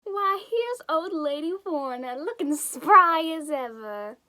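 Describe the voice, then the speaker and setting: Opinionated American farm parent, played by a woman: high voice, slow and folksy, amateur stage acting. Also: lively intonation, pushed and bright, heavily acted. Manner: unhurried, folksy. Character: plain-spoken, opinionated small-town farm parent.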